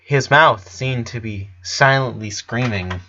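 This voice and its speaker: Bright-voiced man narrating a story, dull recording. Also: mid-range voice, takes it slowly. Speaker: fan fiction reader. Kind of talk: dramatic audiobook narration with character voices.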